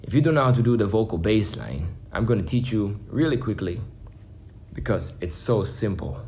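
Low-voiced young man with lively intonation. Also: moderate pace. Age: 20s. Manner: simple step-by-step explaining. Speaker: beatbox instructor. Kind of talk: casual tutorial to camera. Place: small home room indoors. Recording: faint background noise, home room.

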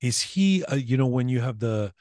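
A middle-aged man hosting a podcast, asking interview questions in a studio mic, halting and searching for his words. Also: medium pitch, animated, a little mellow, dry recording. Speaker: podcast host. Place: radio studio. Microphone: studio microphone with headphones.

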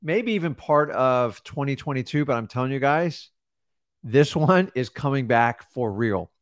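A man's voice: mid-range voice, lively intonation, unhurried, dry recording. Emphatic middle-aged man, dry studio sound. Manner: emphatic, coaching, direct to viewers. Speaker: host. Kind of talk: casual podcast coaching talk. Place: studio with backdrop. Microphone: studio mic on boom arm.

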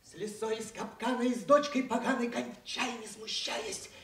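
A very high-voiced, impudent jester. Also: male voice, fairly even intonation, normal speed, vocal fry, a bit bright, exaggerated voice. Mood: mocking urgency. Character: impudent, nimble court fool, teasing in rhyme. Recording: echoey radio theatre, slightly distant.

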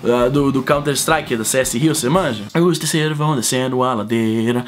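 A man's voice: medium pitch, normal speed, soft background music. A man in his thirties from Brazil with a cold, ranting animatedly to the camera in a casual, profane vlog from a room at home. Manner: animated, profane, slangy, big hand gestures. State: sick with a cold, coughing. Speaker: vlogger host. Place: home room with shelves of collectibles. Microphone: fixed camera, close framing.

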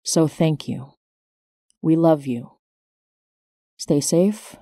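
A woman's voice: low-pitched, extremely slow, mellow, no echo, studio quality. A woman hosting her podcast, reading scripted announcements as its creator.

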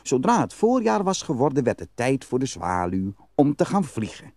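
A man's voice: mid-range voice, wildly swooping pitch, normal speed, darkish tone. A man narrating a fairy tale as a scripted storyteller.

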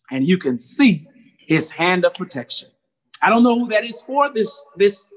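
An American man preaches in an exhorting, emphatic style. His voice sits at a medium-high pitch for a man, and he repeats key phrases to drive them home. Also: fairly bright tone, poor audio. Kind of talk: sermon. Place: church room with high ceiling, indoors. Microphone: phone propped a short distance away.

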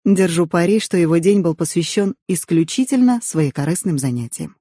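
A woman reading a novel aloud as an audiobook narrator, in expressive first-person storytelling. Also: fairly low pitch, normal speed, dry recording, clean studio sound.

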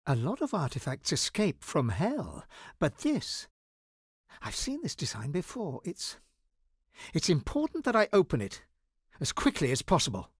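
A wry bookish wizard, highly animated. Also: male voice, unhurried, breathy edge, no echo, studio quality, full character voice. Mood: earnest, troubled. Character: bookish wizard, wry, anxious, guarded scholar of devils. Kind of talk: video game voice lines.

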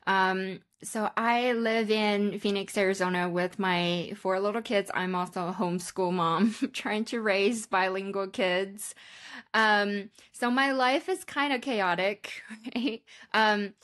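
A woman's voice: mid-range voice, fairly even intonation, slow pace, a bit bright. A woman in her thirties from China, chatting candidly and casually as an interview guest on a video call. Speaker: guest, photographer. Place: indoor room, remote video call.

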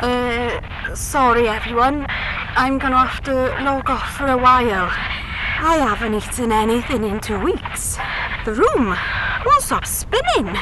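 Excitable high-voiced loyal fangirl. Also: a little slow. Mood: woozy, faint. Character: excitable young female fangirl chat moderator, fiercely loyal, foul-mouthed. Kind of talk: anime-style action horror audio drama. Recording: clear rumbling battle noise behind.

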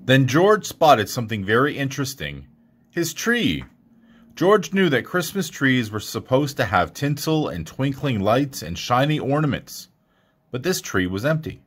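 Animated man reading a children's storybook. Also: mid-range voice, measured pace. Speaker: storybook reader. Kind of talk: children's picture book read-aloud.

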